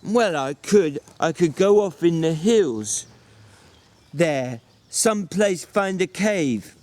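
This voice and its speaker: A man's voice: on the high side, animated, takes it slowly, a little mellow, voice pushed up. A man voicing a timid, simple-minded big man who pleads stubbornly and childishly in a dramatic novel reading. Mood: wounded.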